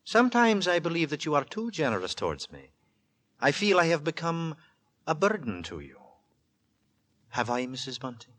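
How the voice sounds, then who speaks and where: An actor playing a quiet, secretive lodger with a hesitant, formal, fussily courteous manner in 1940s radio style. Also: male voice, a little high, very animated, moderate pace, pauses often. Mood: courteous, intense. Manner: hesitant, formal, polite but curt. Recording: vintage 1940s radio drama with music.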